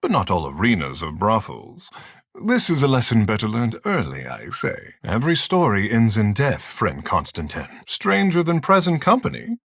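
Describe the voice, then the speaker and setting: Flamboyant young sea-creature man for a fantasy game, super expressive up and down, bit husky, vain and theatrical, teasing and fancy wording. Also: lowish voice, moderate pace, no room sound, studio quality, heavily acted. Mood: boastful, playful. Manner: theatrical, grandiloquent, teasing, formal phrasing. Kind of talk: video game character dialogue.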